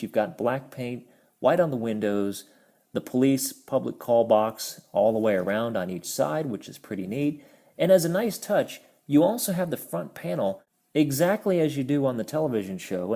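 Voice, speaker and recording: A man walks through a toy review in a relaxed, chatty way. His voice is dark and soft with some husk to it, and he explains each feature as though showing a friend. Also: medium pitch, normal speed. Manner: friendly, explanatory, stumbles over words. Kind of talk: casual product review.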